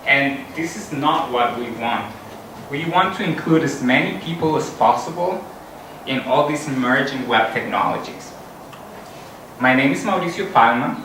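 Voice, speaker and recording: A man's voice: medium pitch, lively intonation, normal speed, bright, forceful tone, slight background noise. Earnest man speaking in an echoey hall. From Costa Rica. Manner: earnest, with frequent um fillers. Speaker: conference speaker. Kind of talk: conference talk. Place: large conference hall. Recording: echoey hall from far off, music.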